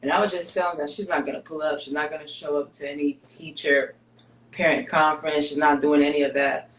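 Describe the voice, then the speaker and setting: A woman's voice: deep voice, echoey room, slight background noise, low-quality recording. A woman born and raised in Greece, whose first language is Greek, tells her life story candidly and steadily as an interview guest. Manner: candid, steady, measured with pauses. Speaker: guest, basketball player.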